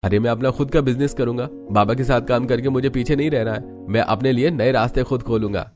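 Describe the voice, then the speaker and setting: A man's voice: medium pitch, fairly quick, over music, lightly acted. A man voicing a boastful, idle young husband in a cartoon story, brash, self-assured and dismissive.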